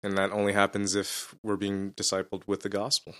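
A pastor being interviewed on a podcast answers casually, with a low voice and a self-deprecating sense of humor. He often restarts his sentences as he works out what he wants to say. His delivery stays relaxed and unhurried.

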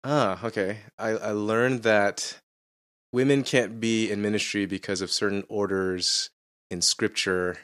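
A man who works as a pastor, answering interview questions in a relaxed, self-deprecating way and often thinking aloud as he goes. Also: on the low side, measured pace. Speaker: pastor, podcast guest.

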